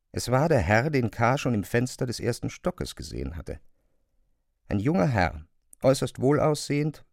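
A man with speech narrating a literary classic in a measured, expressive way. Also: lowish voice, creaky, no echo, clean studio sound. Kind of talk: literary audiobook narration, dramatized radio reading.

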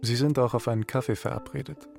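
Actor as bus driver guy, reserved, plain talking, medium pitch, radio drama with faint music. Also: steady tone, quick pace, creaky, a little mellow, natural voice. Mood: matter-of-fact. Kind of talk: radio drama narration and dialogue.

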